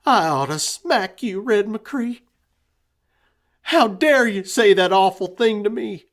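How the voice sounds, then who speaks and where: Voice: mid-range voice, very animated, measured pace, a bit bright, pitched above the performer's own voice. A storyteller voicing a stubborn, tender rural mother in a rhyming tale, with a pleading, fiery drawl. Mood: hurt, fierce.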